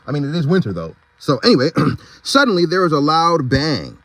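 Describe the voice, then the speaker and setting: Casual man reading a story, very animated. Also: on the high side, a little fast, dry recording, rough recording. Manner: informal, slangy, chatty. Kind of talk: reading a picture book aloud with casual asides.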